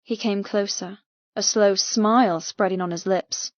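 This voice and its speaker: A woman narrating dramatic first-person fiction as an audiobook, intense, expressive and deliberate. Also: mid-range voice, no echo, dull audio. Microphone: close mic in dry room.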